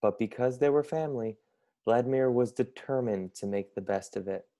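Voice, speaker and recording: Mellow, creaky young man reading a storybook. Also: mid-range voice, normal speed. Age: young adult. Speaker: storybook reader, college student. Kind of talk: scripted children's story narration.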